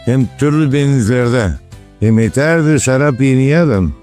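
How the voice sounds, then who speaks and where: A man's voice: medium pitch, a little slow, a little mellow, natural voice. A man voicing a kindly, practical old village grandfather in a children's cartoon, with a folksy, instructive way of talking. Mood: opinionated. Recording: music behind the voice.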